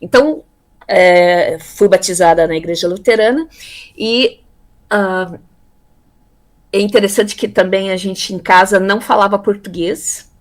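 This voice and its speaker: A woman in her fifties from Brazil, telling her life story in a relaxed interview, pausing often with fillers and repeated words. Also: medium pitch. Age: 50s. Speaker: interviewee, theology graduate. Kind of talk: casual conversational interview.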